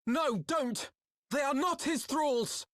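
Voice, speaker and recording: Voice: high-pitched, slow, a bit bright, no echo, clean studio sound. An actor playing an earnest, defiant companion of a hero in a fantasy game, with formal, emphatic diction. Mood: alarmed. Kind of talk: scripted fantasy game cutscene acting.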